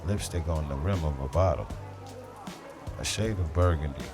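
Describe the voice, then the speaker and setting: A man playing a brooding, street-smart detective who narrates a noir audio drama in measured, terse, dramatic lines over music. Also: a bass voice. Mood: grim, observant.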